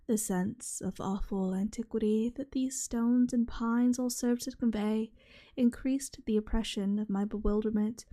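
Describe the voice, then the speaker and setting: A soft-spoken woman reading a horror short story in a measured ASMR style. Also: fairly high pitch. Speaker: reader, channel host.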